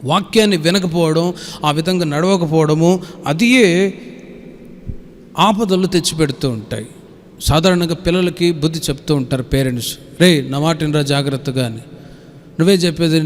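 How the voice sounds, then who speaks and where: Male preacher, high register, instructive scripture exposition with repeated phrases, heard with long hall reverb. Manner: didactic, repeating phrases and citing verses. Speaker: pastor. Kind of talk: sermon with scripture reading and explanation.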